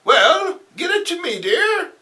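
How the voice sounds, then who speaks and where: Man as sweet old auntie, very high voice, drawn-out words, fussing, doting, theatrical comic book character. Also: very animated, pushed and bright, far from the mic, heavily acted. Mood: doting concern. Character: sweet, fussing elderly aunt.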